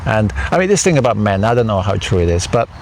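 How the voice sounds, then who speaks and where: A man's voice: on the low side, very animated, fast, creaky, a crowd in the background. A man whose first language is Italian, interviewing a guest in a casual, thoughtful way with many hesitant restarts. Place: outdoors in a garden.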